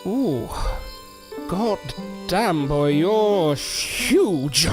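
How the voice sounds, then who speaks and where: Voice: mid-range voice, expressive, very slow, over loud music. A performer playing a bitter, boozy, self-important washed-up ventriloquist in an audio drama, theatrical and condescending. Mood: wary, patronising.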